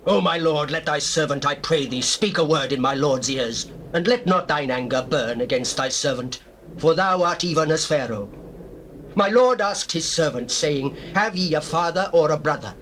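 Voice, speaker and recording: A man's voice: fairly high pitch, animated, normal speed, a bit bright. An actor playing a humble Hebrew man who pleads earnestly before a lord in dramatized scripture. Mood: pleading. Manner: earnest, supplicating, declamatory. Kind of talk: dramatized scripture, stage acting. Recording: noisy old cassette tape.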